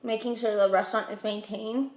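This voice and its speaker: A young American woman from Utah giving chatty, halting answers as a job applicant in an interview, while getting over a head cold. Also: medium pitch, fairly even intonation, a little mellow. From Utah, United States. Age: young adult. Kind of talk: informal phone interview answers. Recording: roomy echo.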